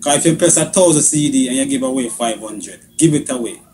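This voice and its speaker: A man's voice: medium pitch, a little fast, darkish tone, slight background noise. A man with a Caribbean accent arguing on a radio panel in an insistent, questioning manner. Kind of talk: argumentative radio discussion.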